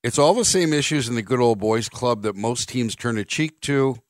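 A man's voice: medium pitch, moderate pace, dry recording. A middle-aged man hosting sports talk radio from a studio mic, blunt and sarcastic as he reads listener texts and gives his opinions. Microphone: studio microphone.

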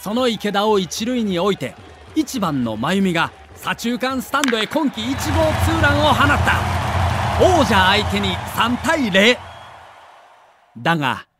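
A man's voice: high-pitched, sing-song, measured pace, background noise. A man giving dramatic scripted narration for an audio documentary.

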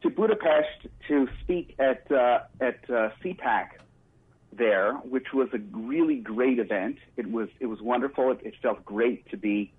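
A man with a husky voice speaks as a casual interview guest and commentator. He is halting, repeating words as he thinks, over a narrow, phone-like connection. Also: mid-range voice. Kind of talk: casual spontaneous interview chat. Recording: muffled, band-limited remote line.

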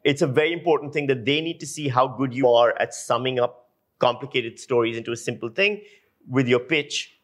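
A man's voice: mid-range voice, a little fast. A man from Pakistan speaking as an interview guest, explaining his work through anecdotes in an emphatic way, repeating phrases for effect. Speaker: guest lecturer and audio journalist.

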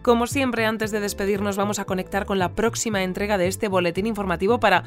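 A woman's voice: medium pitch, fairly even intonation, fast, faint music behind, natural voice. A woman playing an earnest, easily flustered news bulletin presenter in an audio fiction. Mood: announcing. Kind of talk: fiction podcast news broadcast parody.

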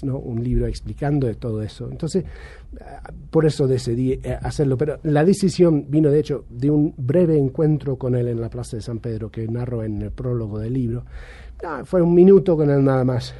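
A man's voice: medium pitch, a little fast, warm tone, slightly rough. A middle-aged man whose first language is English, speaking Spanish with a British and slightly Argentine accent, answering interview questions thoughtfully with frequent restarts. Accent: Argentine-tinged Spanish over a British base. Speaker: journalist and author, interview guest.

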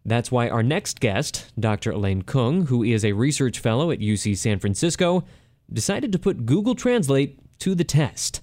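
A man's voice: medium pitch, very animated, normal speed, darkish tone, no echo, studio quality. A man hosting a radio interview show, speaking in a conversational, emphatic presenter style. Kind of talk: scripted radio introduction and interview.

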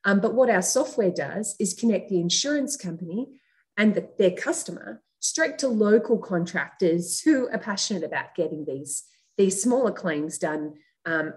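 A woman's voice: medium pitch, darkish tone. Australian woman answering interview questions. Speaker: company co-founder and CEO, podcast guest.

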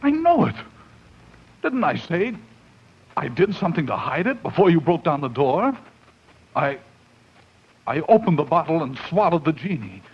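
A man's voice: on the high side, very animated, normal speed, pauses often, slightly rough, heavily acted. An actor playing a haunted man unravelling into madness, melodramatic and overwrought in 1940s radio horror style. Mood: crazed insistence. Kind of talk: 1940s radio horror acting, dramatic narration. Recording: muffled, faintly noisy 1940s radio recording.

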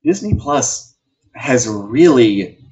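A man's voice: mid-range voice, lively intonation, slow, a little mellow. A man co-hosting a casual entertainment live show, talking in a wry, emphatic way. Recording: dull, lo-fi audio.

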